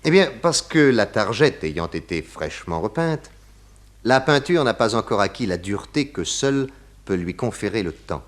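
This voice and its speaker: A man playing a shrewd, assured detective in a 1960s French radio play, speaking formally with deliberate enunciation. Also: on the low side, animated, lightly acted. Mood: assured, explanatory. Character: shrewd, assured male detective laying out his case.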